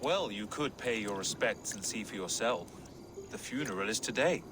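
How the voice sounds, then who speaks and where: An actor playing a courteous, calculating medieval English reeve with a measured, dryly ironic manner. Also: male voice, fairly low pitch, expressive, background noise, lightly acted. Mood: dry, knowing. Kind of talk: video game character acting.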